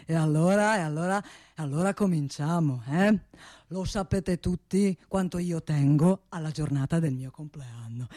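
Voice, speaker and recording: A woman's voice: low voice, warm tone, no echo, clean studio sound. A woman playing an ageing, wealthy male boss in a comic radio monologue, self-satisfied and domineering, rambling and repeating with little questioning tags. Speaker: actor in a comic radio play.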